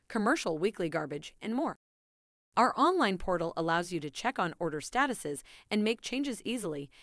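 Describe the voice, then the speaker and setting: A woman's voice: fairly low pitch, no echo, clean studio sound. A woman reading a scripted promotional narration as an advertising presenter, with polished, evenly phrased delivery.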